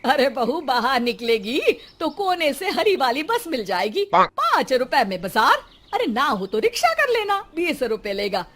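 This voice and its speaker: A woman's voice: high-pitched, sing-song, unhurried, a bit bright, pitched above the performer's own voice, full character voice. A woman playing a thrifty middle-aged mother-in-law in a family cartoon, motherly, advising and firm. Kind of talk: Hindi family cartoon dubbing. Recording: music under the voice.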